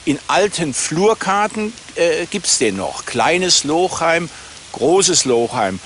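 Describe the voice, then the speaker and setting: Higher-pitched man explaining in an interview. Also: big pitch swings, slow, a bit bright, slight background noise. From Germany. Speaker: amateur researcher interviewee. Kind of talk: spontaneous documentary interview.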